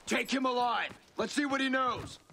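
An actor playing a resolute mercenary squad leader in an action video game, terse and commanding. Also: male voice, very high-pitched, normal speed, background noise.

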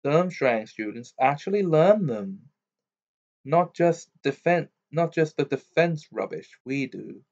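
A man's voice: fairly high pitch, expressive, slow pace, darkish tone, muffled recording, voice pushed up, full character voice. A man voicing a snobbish, sneering rich schoolboy in a storybook, with a pompous drawl. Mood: haughty, boastful.

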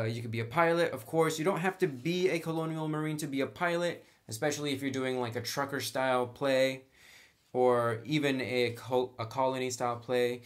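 A man hosting a casual tabletop game tutorial, talking in a chatty, informal, slangy way. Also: mid-range voice. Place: indoors at a tabletop.

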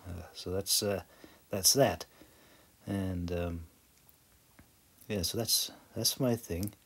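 Slow-talking, hesitant man. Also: low voice, vocal fry, a bit bright, breathy edge. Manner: hesitant, self-deprecating, chuckling. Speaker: video creator and hobby audiobook narrator. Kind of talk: casual rambling vlog voice-over.

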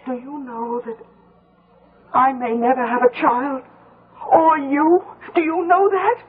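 A woman's voice: sits high, takes it slowly. An actress playing a fearful, superstitious woman giving grave warnings in a radio drama. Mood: insistent. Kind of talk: 1970s radio drama acting. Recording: muffled, tinny old radio broadcast.

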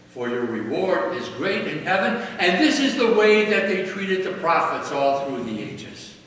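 An older priest preaches in a high, light voice, lingering over each phrase. He is heard from a distance through the long, echoing reverb of a large church, with faint room ambience underneath. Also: pushed and bright, slight background noise. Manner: slow, measured preaching with dramatic pauses. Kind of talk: sermon. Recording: echoey church from far off.

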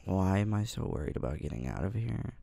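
Tsundere high school boy, male actor, ASMR roleplay: irritable and halting, lively intonation, close dry mic. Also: dry recording, heavily acted. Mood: frustrated, vulnerable. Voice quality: low, gravelly. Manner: halting, grumbling. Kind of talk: ASMR roleplay, one-sided dialogue to listener.

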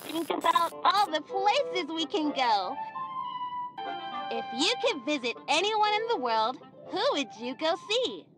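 High sing-song cartoon little girl. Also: very animated, measured pace, over music. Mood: bright, cheerful. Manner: sing-song, addressing young viewers directly with questions. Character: cheerful young cartoon girl hosting a children's show. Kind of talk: children's educational cartoon acting.

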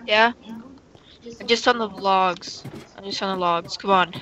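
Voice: medium pitch, fairly even intonation, unhurried, pushed and bright. A gamer talking casually in character during a Minecraft roleplay. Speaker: player in a gaming video. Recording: muffled mic with faint noise.

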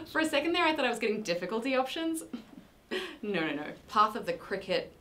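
A woman's voice: a little high, very animated, a little fast, a bit bright, a little hiss or hum. A young woman who grew up in New Zealand, hosting a casual let's-play and chatting, self-mocking and exclaiming at the game, in a small room with game music behind. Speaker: gaming video host. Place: small decorated room indoors.

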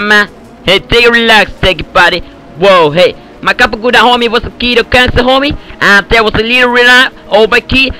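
A man's voice: very high-pitched, pushed and bright, low-quality recording. A man with an Eastern European accent trolling other players in game voice chat, boastful and in broken English, over a headset. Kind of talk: prank call banter in game voice chat.

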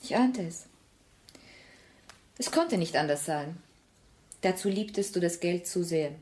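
An actress playing a grave, disillusioned woman in a radio drama, with a husky, breathy voice and measured, sombre delivery. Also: fairly low pitch, expressive, a little mellow. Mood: sad disillusion. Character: disillusioned, grave woman ending a love affair. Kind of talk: German radio play acting, intimate dialogue.

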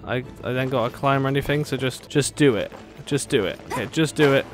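A man's voice: medium pitch, a little fast. A man giving casual, joking let's-play commentary, playful and quippy with sing-song asides. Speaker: video game let's-player. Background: game music.

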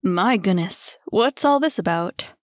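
A woman's voice: mid-range voice, lively intonation, a little slow. A woman voicing a fussy, flustered man who is stern but kindly.

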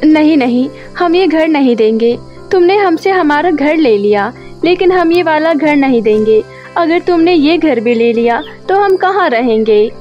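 A woman voicing a worried widowed mother in an animated story, anxious and pleading. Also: high-pitched, unhurried, dull audio. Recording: music under the voice.

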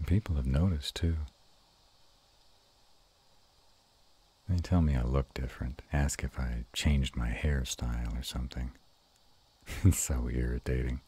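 A man playing an affectionate, bashful, gruff were-dragon lover in an intimate romantic roleplay audio, with a slow, teasing murmur. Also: warm tone, exaggerated voice. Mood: bashful, happy. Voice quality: very deep and husky.

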